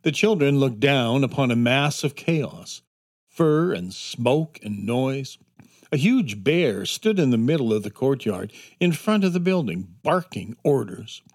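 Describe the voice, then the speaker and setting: A man narrating a scripted children's adventure story in a measured, dramatic storytelling manner. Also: mid-range voice, sing-song, no echo, clean studio sound. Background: instrumental music.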